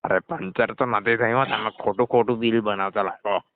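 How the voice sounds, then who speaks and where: Man playing low-voiced, cheeky garage customer. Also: fairly even intonation, moderate pace, slight background noise, close to an everyday voice. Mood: disputing. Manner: nonchalant, needling, stalling. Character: cheeky, evasive male garage customer dodging payment. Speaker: performer in a scripted comedy call. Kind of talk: scripted comedy phone call. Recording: dull lo-fi phone call recording.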